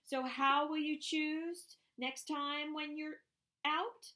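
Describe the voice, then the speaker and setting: A woman reading a rhyming children's picture book aloud, expressively and deliberately, with emphasised phrases. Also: sits high, steady tone, pushed and bright.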